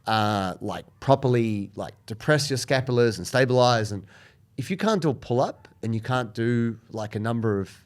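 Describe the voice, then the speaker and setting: A man's voice: mid-range voice, animated, normal speed. A man co-hosting a podcast, giving earnest, emphatic advice in a casual chat. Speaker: podcast co-host.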